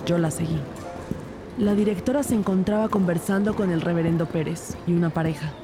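A woman's voice: lowish voice, a little fast, lightly acted. A woman playing a detective who narrates her case in a scripted audio drama. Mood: narrating. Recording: clear background music under voice.

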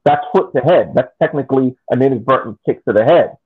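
Husky man on a tinny line. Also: medium pitch, animated, fast. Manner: methodical, argues through hypotheticals. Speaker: podcast co-host. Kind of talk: casual sports debate.

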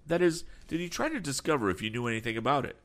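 A man voicing an eager, excited young inventor asking urgent questions. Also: medium pitch, racing, voice pushed up.

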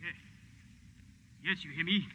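A haughty villainous police official in an old radio play. The voice is highish and very sharp, with formal, imperious menace. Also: male voice, unhurried, a little hiss or hum. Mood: angry, cornered. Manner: formal, smooth-worded, imperious. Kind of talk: 1940s radio drama acting.

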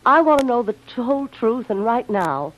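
High-voiced, melodramatic young sweetheart. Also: female voice, very animated, normal speed. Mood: indignant, determined. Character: headstrong, anxious young woman sweetheart of the wounded hero. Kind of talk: 1930s radio serial acting. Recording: noisy, dull old radio recording.

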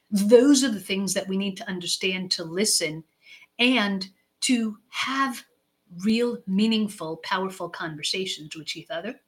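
A woman guest expert giving measured interview answers, stacking her points in lists and letting sentence ends fall soft. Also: mid-range voice. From United States. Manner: deliberate, stacks phrases in lists, trails off softly at ends. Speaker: guest expert on leadership and communication.

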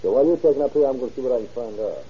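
A man's voice: mid-range voice, expressive, racing, natural voice. A man playing a keen investigator in an old-time radio crime drama. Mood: inquisitive. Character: keen, hunch-following male investigator assisting sheriff. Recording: dull muffled old radio recording.

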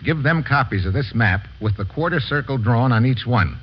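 Actor as a brisk American investigator, old noisy radio. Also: male voice, mid-range voice, lively intonation, muffled recording, natural voice. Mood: focused, businesslike. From United States. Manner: brisk, clipped, commanding. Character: brisk, resourceful American male investigator giving orders. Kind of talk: old-time radio drama acting.

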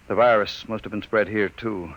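A man's voice: fairly low pitch, steady tone, moderate pace, close to an everyday voice, rough recording. An actor playing a desperate, imprisoned male scientist in a 1950s radio drama. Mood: despairing. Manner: shouting in fury, later quiet and despairing. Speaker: lead actor.

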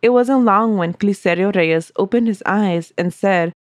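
A woman's voice: medium pitch, measured pace, a little hiss or hum. A woman hosting a history podcast, reading a scripted story aloud while stuffy from a cold. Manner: steady storytelling narration. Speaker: podcast host.

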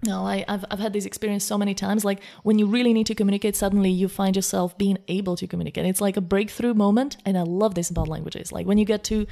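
A woman's voice: mid-range voice, fairly even intonation, fast talker, gravelly, hardly any pauses, mellow. A young woman from Slovakia with a Slovak accent, a guest talking eagerly about learning languages. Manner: eager, thoughtful, frequent restarts. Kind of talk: casual conversation.

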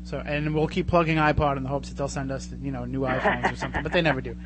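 Male radio host, very brisk pace, casual interviewing, clear background noise. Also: medium pitch, fairly even intonation.

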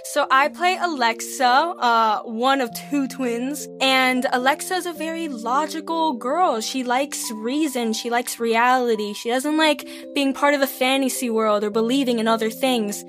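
A woman's voice: sits high, measured pace, few pauses, a bit bright, faint music behind. A woman chatting casually as a guest on a podcast. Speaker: guest, audio drama actor. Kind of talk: casual chat.

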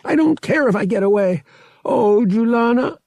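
Man playing an impassioned high-pitched youth. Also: animated, moderate pace, darkish tone, no echo. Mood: grieving, desperate. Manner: urgent, emotional, crying out. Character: impassioned teenage boy, loyal sci-fi hero in anguish.

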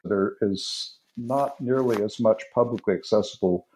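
An older man, an author being interviewed, answering thoughtfully with frequent pauses and fillers. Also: fairly low pitch, a little mellow, a little hiss or hum, rough recording. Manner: thoughtful, hesitant with many fillers. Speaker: author and musicologist guest.

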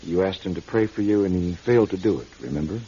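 Deep, very husky sinister overseer. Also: male voice. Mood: soothing, evasive. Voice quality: husky, dark. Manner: measured, evasive, controlling. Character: calm, cold, sinister overseer posing as doctor. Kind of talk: audio drama acting. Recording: dull, muffled old radio recording.

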